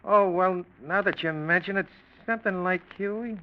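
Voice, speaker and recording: An actor playing a chatty, folksy cigar store clerk who rambles hesitantly, in 1940s radio drama style. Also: male voice, high-pitched, moderate pace, lightly acted. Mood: recollecting. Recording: muffled old radio recording.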